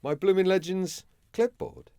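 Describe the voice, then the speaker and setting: A man co-hosting a podcast, playful and quick to laugh and chime in. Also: high-pitched, dark tone, smooth, no room sound. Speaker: podcast co-host. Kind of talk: casual chat.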